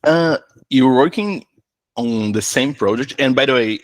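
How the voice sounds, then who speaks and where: A man's voice: medium pitch, unhurried, no echo. A man from Brazil with a Brazilian Portuguese accent, hosting a casual interview, friendly and hesitant with many fillers. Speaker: interviewer host.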